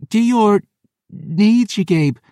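A man's voice: high voice, lively intonation, warm tone, no room sound, clean studio sound, pitched above the performer's own voice, heavily acted. Man voicing a pained, gasping Scottish warrior woman. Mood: urgent, pleading. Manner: halting, broken by pain. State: in pain, short of breath. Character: gravely wounded young woman warrior, defiant, gasping in agony. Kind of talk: audiobook character voice.

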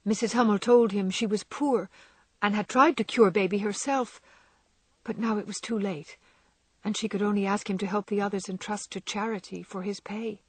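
A woman voicing a gentle, frail young girl who speaks softly, often near a whisper. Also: mid-range voice, steady tone, normal speed, slightly rough, no echo, pitched above the performer's own voice, lightly acted. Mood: sad.

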